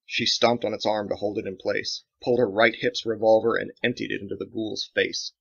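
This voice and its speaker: A man's voice: on the low side, flat delivery, fairly quick, creaky, a bit bright. A man reading his own dramatic action novel as scripted audiobook narration, in a slightly dull recording.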